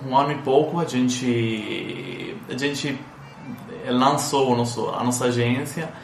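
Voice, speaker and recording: A man's voice: medium pitch, reverberant, slight background noise. A Sicilian man speaking with an Italian accent in a casual interview chat, hesitant, with many fillers and restarts. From Sicily, Italy. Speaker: guest, citizenship consultant.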